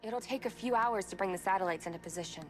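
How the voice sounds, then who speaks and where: A woman's voice: mid-range voice, fast talker, close to an everyday voice. A woman playing an earnest young tech expert in a video game fan dub, speaking in a conversational way. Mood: focused. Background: music.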